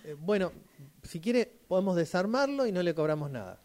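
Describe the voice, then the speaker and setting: A man's voice: high-pitched, big pitch swings, moderate pace, a little mellow. A man playing a polite, practical repair shop worker in an amateur radio drama, businesslike and a little hesitant.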